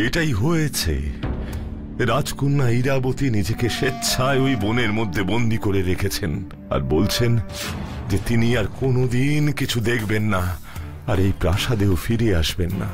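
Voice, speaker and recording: An actor playing a deferential royal minister in a cartoon, formal, respectful and theatrical. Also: male voice, mid-range voice, normal speed, close to an everyday voice. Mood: grave. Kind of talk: cartoon voice acting. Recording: music behind the voice.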